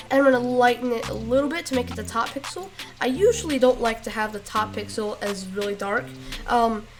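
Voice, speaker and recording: A woman with a rough voice giving a casual step-by-step tutorial, halting with fillers and restarts. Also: on the high side. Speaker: tutorial creator. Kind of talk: casual screen-recorded tutorial.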